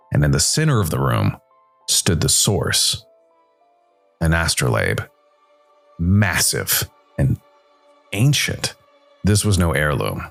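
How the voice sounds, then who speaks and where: A man narrating a scripted first-person noir story as a world-weary supernatural detective, brooding and dramatic, with deliberate, weighted pauses. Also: very deep voice, gravelly, a bit bright, husky, heavily acted. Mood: ominous, grave. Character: world-weary supernatural noir detective, male jazz musician working for Death.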